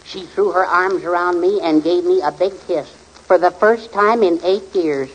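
An actor playing a timid, henpecked middle-aged husband, hesitant and deadpan in old radio comedy style. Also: male voice, high-pitched, normal speed, dull audio, close to an everyday voice. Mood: timidly pleased. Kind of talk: 1940s-50s radio comedy acting. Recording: noisy old radio recording.

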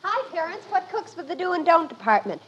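An actress playing a breezy, self-absorbed teenage daughter in a mid-century radio comedy, with slangy delivery. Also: female voice, very high voice, sing-song, a little fast, highs cut off, a little hiss or hum. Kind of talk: 1940s-50s radio sitcom acting.

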